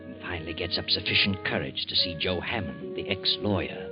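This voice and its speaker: A man narrating a radio drama in a grave, measured voice. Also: sits low. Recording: dull old radio recording with faint music.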